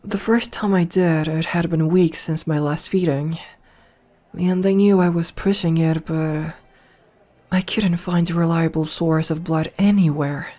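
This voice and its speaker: A voice actress playing a dominant, flirtatious noble vampire princess in a soft, slow ASMR roleplay, recorded close to the mic. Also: female voice, deep voice, steady tone. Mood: darkly confiding. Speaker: voice actor. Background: faint music.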